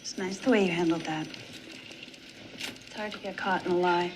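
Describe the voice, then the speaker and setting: An actress playing a poised, sharp female department store owner who speaks in a cool, pointed and direct way in a TV drama. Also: lowish voice, fairly even intonation, normal speed, background noise, close to an everyday voice. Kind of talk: 1960s TV drama acting.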